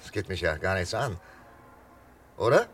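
A man playing a calm, coaxing psychiatrist in a 1960s German radio thriller, talking in a hesitant, conversational way. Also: sits low, normal speed, husky, full character voice. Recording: 1963 radio recording, faint noise.

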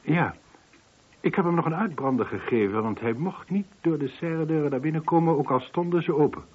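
A man's voice: fairly high pitch, fairly quick, slightly rough. An actor playing a weary, defensive man nearing sixty in a Dutch radio play, explaining himself hesitantly. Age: late 50s. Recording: muffled, band-limited old radio recording.

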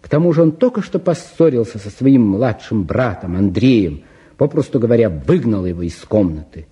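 A man narrating a radio play in a husky voice, speaking directly to the listener as he sets the scene. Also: on the low side, big pitch swings, normal speed, mellow, full character voice. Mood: descriptive, calm. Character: narrator, a storyteller introducing his characters fondly. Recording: dull, muffled old radio recording.

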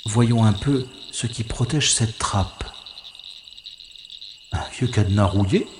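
Man acting a slow, deep-voiced wry infiltrator. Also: roomy sound, background noise. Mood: scornful. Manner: deliberate, dramatic, thinking aloud. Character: cautious, wry male infiltrator narrating his secret mission. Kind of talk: dramatized first-person monologue, audio science-fiction adventure.

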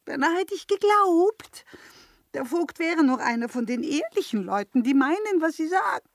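Pert, high-voiced young maid. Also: female voice, big pitch swings, moderate pace, dry recording, clean studio sound. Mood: scornful, wounded. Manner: coquettish, teasing, theatrical. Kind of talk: German radio play acting.

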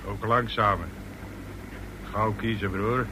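A man's voice: fairly low pitch, steady tone, slow pace, bright, forceful tone. An actor playing a sardonic henchman in a radio drama, dryly mocking with cool menace. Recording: noisy old radio drama recording.

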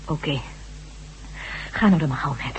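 A woman's voice: sits low, normal speed, a little hiss or hum, close to an everyday voice. An actress playing an earnest, wistful woman survivor of an ancient people in a Dutch radio drama. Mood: concerned. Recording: dull, humming old radio recording.

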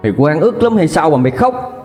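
Man doing angry middle-aged mother, shrill berating, raised pitch, reverb, background music. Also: big pitch swings, a little fast, a little mellow. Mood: enraged scolding. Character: furious middle-aged mother, scolding and shaming.

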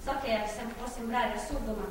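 An actress playing a wounded, sarcastic, foul-mouthed pregnant captive in a stage drama. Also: female voice, fairly high pitch, fairly even intonation, moderate pace, close to an everyday voice. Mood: pleading, insistent. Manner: sarcastic, pleading, profane outbursts. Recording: echoey hall from far off, faint noise.

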